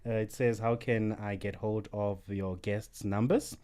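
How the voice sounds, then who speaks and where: A man's voice: lowish voice, little pitch movement, unhurried, mellow. A man hosting a radio show, reading out listener messages and giving upbeat sign-offs. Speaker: radio host.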